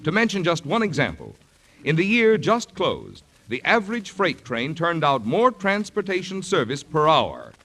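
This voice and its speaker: Man for a vintage 1940s radio announcement, formal scripted delivery with animated pitch swings. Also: normal speed. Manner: formal, declamatory.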